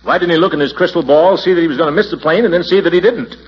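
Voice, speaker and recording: An actor playing a pompous, blustery middle-aged uncle who hems and chuckles in a 1940s radio comedy. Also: male voice, on the high side, very fast, slightly rough. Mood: wry, blustering. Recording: muffled, noisy 1940s radio recording.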